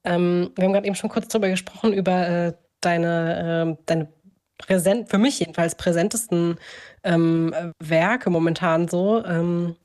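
Low-pitched woman, thoughtful podcast host. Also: steady tone, normal speed, a little mellow. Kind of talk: conversational podcast interview. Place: remote, joining on screen.

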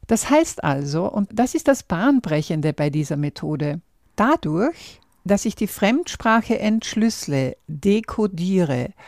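Slow, calm woman explaining step by step. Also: medium pitch, dry recording, studio quality. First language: German. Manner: calm and explanatory, walks through numbered steps. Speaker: language-learning podcast host. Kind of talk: explaining a learning method, speaking directly to the listener.

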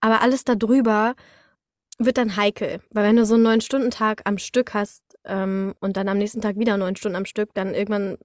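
A woman's voice: medium pitch, brisk, no echo, studio quality. A woman explaining her work as a voice actor in casual conversation, acting out little moments with sound words as she goes. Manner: animated storytelling with little acted-out sound words. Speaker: voice actor, interview guest.

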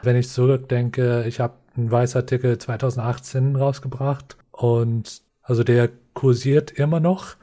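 Guy speaking german as his second language, mellow low-key voice, lots of ums, pretty flat. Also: normal speed, few pauses. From Lakota reservation, US Northern Plains. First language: not German. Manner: hesitant, many fillers and restarts. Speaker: guest. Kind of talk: podcast interview, reflective explaining.

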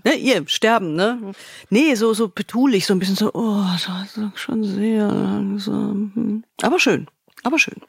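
A woman's voice: medium pitch, unhurried, vocal fry, husky, dry recording, studio quality. A woman co-hosting a podcast in casual, playful banter. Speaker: podcast co-host. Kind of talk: casual panel chat.

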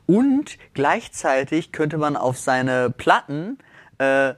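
A man's voice: on the high side, big pitch swings, slow pace, no echo. A man co-hosting a podcast in casual chat, enthusiastic and agreeable. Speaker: podcast co-host.

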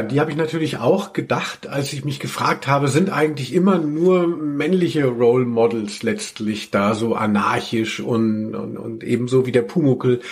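Halting mid-pitched male podcast host. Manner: halting, thinking aloud. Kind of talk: casual discursive podcast chat.

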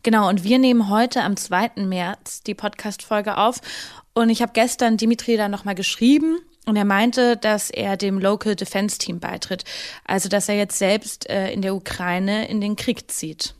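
A woman's voice: medium pitch, steady tone, moderate pace. Casual rambling female podcast host. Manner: casual, rambling, many fillers. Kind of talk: podcast chat.

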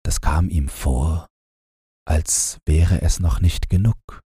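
A man narrating a bedtime fairy tale from a script in a calm, measured, gentle way. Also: extremely low pitch, husky, no room sound, clean studio sound. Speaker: audiobook narrator.

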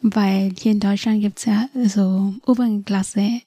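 A woman in her twenties from Nepal, whose first language is Nepali, tells her own story in a podcast studio. She speaks thoughtfully and hesitantly, with many pauses and fillers. Her voice is mellow and the sound is dry. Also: medium pitch, flat delivery, dry recording. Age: 20s. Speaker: podcast guest. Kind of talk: personal story in an interview.

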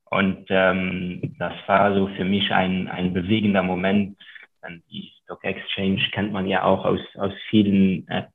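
A man's voice: sits low, completely flat delivery, measured pace, vocal fry. A man in his thirties from Luxembourg with a French accent, a guest on a podcast interview, talking hesitantly with many ums. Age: 30s. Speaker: guest investor and author. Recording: tinny phone-like line.

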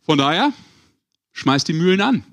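A man's voice: a little high, very animated, normal speed, fairly bright tone. A man hosting a sports podcast in a casual, conversational chat style. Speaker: podcast host.

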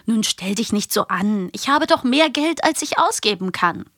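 A woman's voice: a little high, expressive, moderate pace, a bit bright, no room sound, studio quality. A woman hosting a podcast in casual, teasing chat and quiz banter. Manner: playful, teasing. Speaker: podcast host.

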